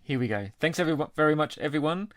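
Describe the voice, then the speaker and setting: A man's voice: a little high, animated, fast talker, no room sound, clean studio sound. A British man co-hosting a podcast in a casual, jokey and matey way. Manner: jovial, matey. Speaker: podcast co-host.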